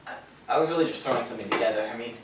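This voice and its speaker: A man's voice: mid-range voice, very fast, close to an everyday voice. Echoey far-off stage recording w some noise, guy playing a nervous stammery writer type, apologetic. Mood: self-deprecating, doubtful. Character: timid, self-deprecating aspiring male scriptwriter, easily flustered. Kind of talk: stage play acting. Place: theater stage, distant mic.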